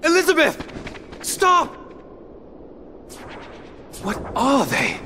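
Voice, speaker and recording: Anxious young adventurer, high male voice, animated, formal, determined, urgent game acting. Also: drawn-out words, a little hiss or hum, full character voice. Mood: alarmed. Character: earnest, anxious, determined young gentleman hero. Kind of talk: dramatic video game voice acting.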